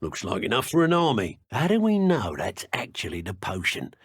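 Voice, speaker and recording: An actor playing a contemptuous, menacing dark wizard henchman in a video game, with a haughty, theatrical, sneering delivery. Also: lowish voice, wildly swooping pitch, normal speed, breathy edge, no room sound, studio quality, full character voice. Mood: scornful musing. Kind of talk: video game combat barks and banter.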